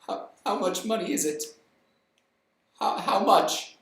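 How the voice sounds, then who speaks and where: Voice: mid-range voice, lively intonation, slow, a bit bright. A storyteller voicing a grieving old father who stammers. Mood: fearful. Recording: roomy, distant mic.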